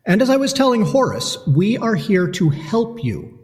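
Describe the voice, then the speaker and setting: A man's voice: sits high, big pitch swings, normal speed, big-room sound. A man playing a pompous asylum doctor. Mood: condescending. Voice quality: formal, condescending, precise diction. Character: pompous male asylum doctor, cold and clinical. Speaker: asylum alienist.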